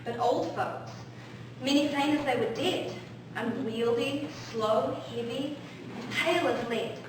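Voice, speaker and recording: Woman as a high-voiced, impatient lovestruck girl. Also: unhurried. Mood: impatient, longing. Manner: declamatory, pleading. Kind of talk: Shakespearean stage acting. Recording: very echoey, distant, noisy stage recording.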